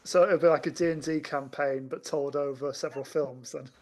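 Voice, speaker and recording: Higher-voiced man, hesitant casual discussion. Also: fairly even intonation, brisk, a little mellow. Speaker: podcast panelist.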